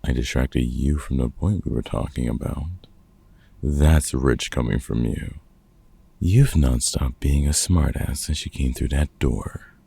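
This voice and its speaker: Guy doing a grumpy older monster hunter for audio roleplay, super low raspy voice, sarcastic but caring, takes his time. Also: a little slow, warm tone, full character voice. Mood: teasing, fond. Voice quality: gravelly, husky. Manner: dry, teasing, sardonic. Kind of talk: audio roleplay acting, comfort ASMR.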